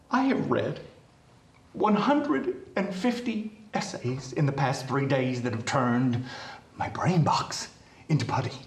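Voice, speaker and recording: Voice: mid-range voice, lively intonation, takes it slowly, long pauses. An actor playing an exasperated, sardonic English professor in a web series, speaking in an emphatic, theatrical and sarcastic way. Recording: roomy distant mic, music behind.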